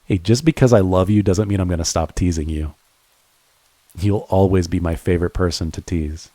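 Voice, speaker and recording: A man playing a devoted friend turned lover in an intimate romantic audio roleplay, speaking earnestly and haltingly to the listener. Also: deep voice, mellow, no echo. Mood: tender, teasing. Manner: halting, earnest, with stammered repeats.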